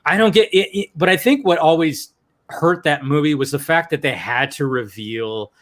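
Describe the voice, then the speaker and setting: A man hosting a livestream, chatting casually and profanely in an animated way, often restarting his sentences. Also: on the high side, moderate pace. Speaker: livestream host. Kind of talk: casual chat on a group podcast.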